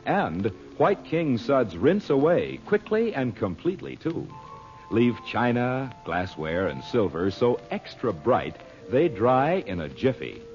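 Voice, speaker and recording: A man announces in classic American radio style, reading scripted copy. His mid-pitched voice is very animated, on a muffled old recording with music. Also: slow. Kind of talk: 1930s-40s radio ad and serial narration. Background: loud-ish music.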